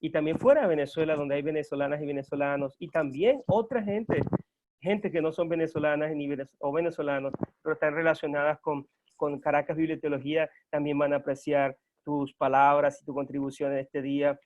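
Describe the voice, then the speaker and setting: A man with a fairly high voice hosts an interview, formal and courteous, often pausing on "ah" between phrases. His audio is dull and lo-fi, missing its brighter tones. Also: fairly even intonation, a little hiss or hum. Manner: warm formal welcomes, hesitant "ah" fillers. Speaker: interview host. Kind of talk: interview hosting. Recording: dull lo-fi sound.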